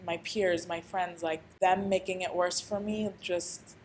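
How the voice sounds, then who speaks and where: Young woman from Algeria, low even voice, earnest and halting, faint room ambience behind. Student interview. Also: a little hiss or hum. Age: young adult. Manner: hesitant, earnest, many restarts. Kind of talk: reflective interview answers.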